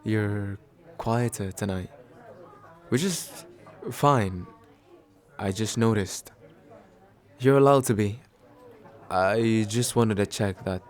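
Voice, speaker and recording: A man playing a nervous, kind guy on a second date, speaking haltingly and reassuringly in an ASMR comfort roleplay. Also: on the low side. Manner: hesitant, soft-hearted, self-deprecating, halting with small pauses. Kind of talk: ASMR comfort roleplay, acted as casual chat.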